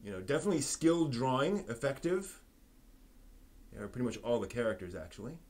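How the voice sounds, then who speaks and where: A man hosting a live stream, giving a manga review in an articulate, expressive way. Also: medium pitch, brisk. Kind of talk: manga review on a live stream, part prepared, part casual chat.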